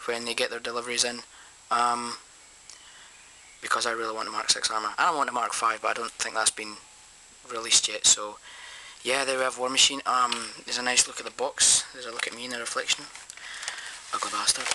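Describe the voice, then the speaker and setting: A man's voice: medium pitch, pushed and bright, slight background noise, poor audio. A Scottish man from Scotland reviewing an action figure at his desk in a casual vlog, enthusiastic and rambling with lots of fillers. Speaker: toy reviewer. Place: small room at a desk.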